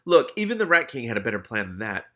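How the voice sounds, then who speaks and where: A man voicing a wily, grumbling streetwise cat in a storybook reading, quick to hedge and bargain. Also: mid-range voice, big pitch swings, pushed and bright. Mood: sardonic, grumbling. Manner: quick-fire, hedging, sardonic. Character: wily talking male cat, streetwise, grumbling, anxiously deferential.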